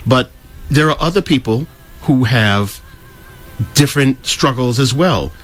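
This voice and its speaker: Guy explaining his views and telling stories, super lively pitch, emphatic, does quotes from people. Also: a little slow, faint music behind. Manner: emphatic, acts out quoted remarks. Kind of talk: opinionated explanatory talk with anecdotes.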